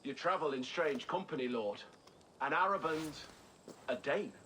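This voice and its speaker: A man's voice: fairly high pitch, animated, moderate pace, pushed and bright, slight background noise. A man voicing a suspicious but deferential soldier captain in a video game, speaking hesitantly to his lord. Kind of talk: video game story acting.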